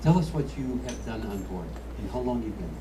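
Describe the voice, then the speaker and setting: Male emcee for a shipboard ceremony, halting and jokey, picked up from afar with crowd noise. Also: fairly low pitch, expressive. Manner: hesitant, joking, many filler sounds. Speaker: master of ceremonies. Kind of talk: ceremony hosting, informal interviews. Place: aboard a ship at a public opening ceremony. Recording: distant mic, clear background noise.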